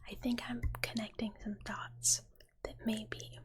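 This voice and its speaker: A woman livestreamer chatting with viewers in a playful, cutesy ASMR style, in a breathy whisper. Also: a little high, flat delivery, unhurried.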